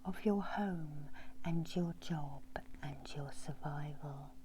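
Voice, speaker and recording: A middle-aged woman whispers a guided meditation in a breathy, soothing ASMR style, pausing often, as a healer would. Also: deep voice, very noisy. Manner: slow, soothing, with long pauses. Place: small room indoors.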